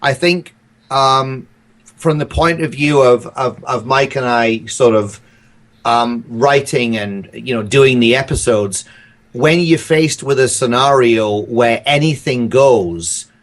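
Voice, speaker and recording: A man speaks as a guest writer in a relaxed podcast discussion. His pitch sits in the middle, and he reasons aloud in a halting, thoughtful way, often rewording himself.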